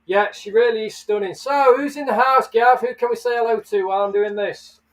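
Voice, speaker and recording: A man's voice: extremely high pitch, steady tone, a little fast, far from the mic. A man hosting a casual livestream, chatting and greeting viewers by name. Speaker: livestream host. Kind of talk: casual live chat.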